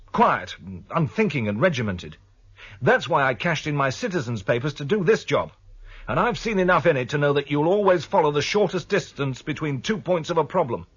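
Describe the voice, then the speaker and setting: Husky, sardonic security chief. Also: male voice, on the high side. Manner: sardonic, argumentative. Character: defiant, sardonic male security chief in a dystopia. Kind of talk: 1970s science fiction radio drama acting. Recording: dull vintage radio recording.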